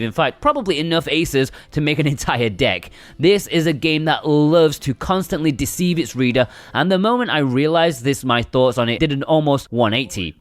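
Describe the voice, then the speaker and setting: A man's voice: mid-range voice, no room sound. A man narrating a scripted video game review in a conversational way, with wry asides.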